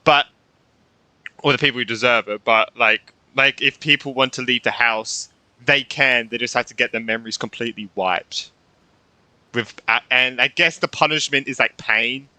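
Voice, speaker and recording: A man's voice: medium pitch, moderate pace, bright, forceful tone, no echo, poor audio. A man giving casual playthrough commentary as a gaming streamer, musing aloud informally in loose phrases on a close microphone.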